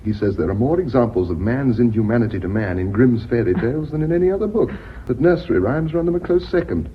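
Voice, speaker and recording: Actor as bitter lovelorn British accountant, hissy recording. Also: male voice, medium pitch, expressive, fast, close to an everyday voice. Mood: wry. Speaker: actor in a radio play. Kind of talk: British radio drama acting. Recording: dull lo-fi radio recording, clear hiss.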